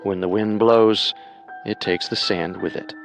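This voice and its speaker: True crime host: man, low creaky voice, measured and dramatic, faint music underneath. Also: steady tone. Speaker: podcast host and narrator. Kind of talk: scripted true crime narration.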